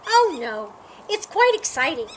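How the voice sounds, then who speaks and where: A woman's voice: high-pitched, very animated, measured pace, slight background noise. A woman voicing a small, cheerful male mouse from a storybook, eager, polite and reassuring. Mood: cheery, reassuring. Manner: reassuring, polite, gently hushing.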